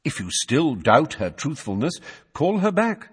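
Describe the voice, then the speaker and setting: Composed old wizard, a man reading, measured and polite, slightly husky, long reverb. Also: a little high, very animated, voice pushed up, full character voice. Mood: calm. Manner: measured, courteous, unhurried. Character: calm, courteous old male wizard, coolly polite.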